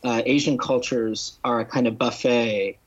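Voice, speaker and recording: Husky man speaking in halting, deliberate phrases. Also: mid-range voice, steady tone, dull audio. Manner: halting, deliberate, restates and lists points. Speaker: guest novelist and critic. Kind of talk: literary discussion on a podcast.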